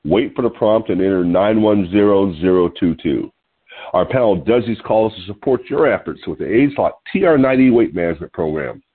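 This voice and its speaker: A man in his sixties reads an article aloud to callers from his home, nasal and sniffly from allergies, with a low, husky voice. He adds little chuckling asides between points. The sound comes through a thin, tinny phone line. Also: expressive, a little fast. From California. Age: 60s. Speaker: host. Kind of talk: reading an article on a call-in show. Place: home room.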